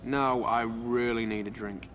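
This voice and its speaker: A man's voice: mid-range voice, steady tone, measured pace, a bit bright, a little hiss or hum, natural voice. An actor playing a young man, dry and sarcastic. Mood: grumbling. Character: young British man, grumbling friend.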